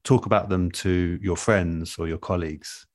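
A man in a relaxed interview, explaining things in a thoughtful, measured and earnest way as an experienced producer. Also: low voice, dry recording, clean studio sound. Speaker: interview guest, audio drama producer. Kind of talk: relaxed advice-giving conversation.

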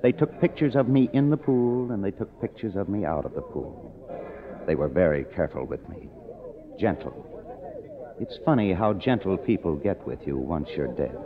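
A man's voice: low voice, sing-song, moderate pace, crowd noise. Bitter cynical younger man, radio drama, muffled hissy recording, echoey hall. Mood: wry narration. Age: young adult. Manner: sardonic, hard-boiled. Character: cynical younger kept man, a struggling writer, bitter narrator. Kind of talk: 1950s radio drama acting.

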